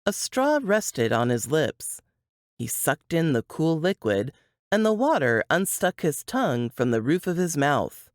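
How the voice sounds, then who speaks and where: Female audiobook narrator, unhurried and animated, scripted fiction read in a dry space. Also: low voice, no room sound.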